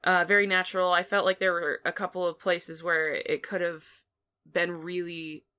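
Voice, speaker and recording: A woman's voice: lowish voice, flat delivery, moderate pace, sharp tone, clear, resonant tone. A woman co-hosting a film review podcast, talking casually and informally with some mild swearing. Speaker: podcast co-host reviewing films.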